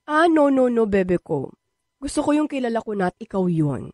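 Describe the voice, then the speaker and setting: A sweet-talking, stubborn young Filipina in a radio drama. She coaxes and teases in a fairly high, lively voice with a Filipino accent, recorded dry over faint music. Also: no echo. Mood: earnest. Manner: coaxing, teasing, drawn-out words. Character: playful, persistent, sweet-talking young woman wanting a baby.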